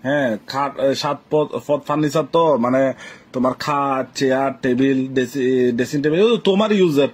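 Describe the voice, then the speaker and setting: Husky-voiced young Bangladeshi man, offhand. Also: medium pitch, normal speed. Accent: Bangladeshi Bengali. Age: young adult. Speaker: boyfriend in a phone argument. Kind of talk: casual phone quarrel.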